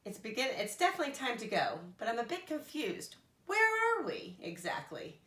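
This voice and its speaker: An eager, polite furry blue monster from a children's storybook, acted out by a woman, explains things earnestly. The delivery swings up and down with excitable emphasis, in a roomy, echoing space. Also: on the high side, normal speed, voice pushed up. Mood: puzzled.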